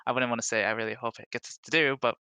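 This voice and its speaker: Bright-voiced earnest man, close and dry. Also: medium pitch, steady tone, clean tone, dry recording. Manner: earnest, halting. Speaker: indie game developer, podcast guest. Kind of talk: casual interview chat.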